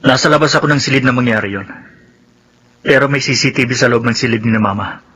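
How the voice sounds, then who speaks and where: An actor playing an upset, earnest young brother in a Filipino radio drama, with a Filipino accent. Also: male voice, mid-range voice, fast talker, pushed and bright, slightly rough. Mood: indignant.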